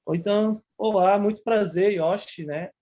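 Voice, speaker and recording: A man's voice: high voice, normal speed, mellow. A man with a Brazilian accent calling into a video meeting for the first time, asking a question hesitantly with many fillers. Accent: Brazilian Portuguese. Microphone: video call at home.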